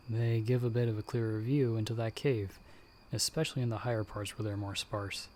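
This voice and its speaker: A man playing a battle-hardened werewolf hunter in an ASMR audio roleplay, speaking directly to the listener in a measured, dryly understated way. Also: fairly low pitch, darkish tone, a little hiss or hum, lightly acted. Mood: composed, matter-of-fact. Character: battle-hardened male werewolf hunter with healing magic, calm mentor.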